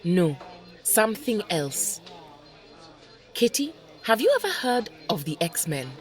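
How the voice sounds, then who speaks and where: A woman playing a serene, kindly superheroine with a measured, formal and gentle delivery in an audio drama. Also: mid-range voice, sing-song. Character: serene, kindly, dignified African goddess-like superheroine.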